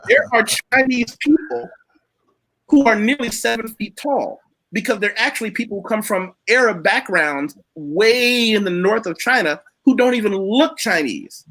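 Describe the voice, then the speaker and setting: A man's voice: high-pitched, expressive, normal speed, bright, forceful tone, poor audio. A man hosting a casual live chat, speaking in a blunt, opinionated storytelling manner.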